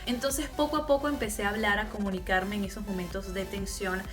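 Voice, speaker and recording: A young woman vlogging casually to camera, talking in a relaxed, chatty way and laughing now and then. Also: mid-range voice, a little fast, over music. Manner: chatty, laughs often, mixes in English. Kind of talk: casual vlog talk to camera.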